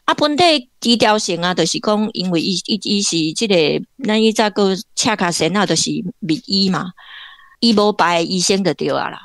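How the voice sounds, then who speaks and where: A woman's voice: mid-range voice, moderate pace, no echo. A woman with a Taiwanese accent giving conversational literary commentary in an explanatory way, like a guest academic.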